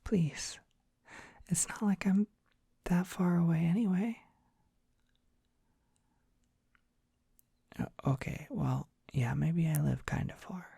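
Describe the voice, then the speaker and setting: A performer plays a dorky, gentle dragon boy in an ASMR roleplay. His voice is a very husky, breathy whisper, heavily creaky at the edges. He talks slowly and shyly, halting softly as he soothes the listener. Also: mid-range voice, no room sound, studio quality, exaggerated voice. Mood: earnest reassurance. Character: gentle, shy, dorky young dragon boy, tenderly comforting.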